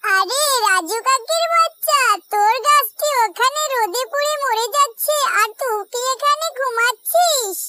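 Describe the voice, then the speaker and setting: Tiny, squeaky little bird child. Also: female voice, expressive, unhurried, few pauses, loud music behind the voice. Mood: scolding. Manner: earnest, helpful. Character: kind, hardworking, earnest little bird child. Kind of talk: children's cartoon voice acting.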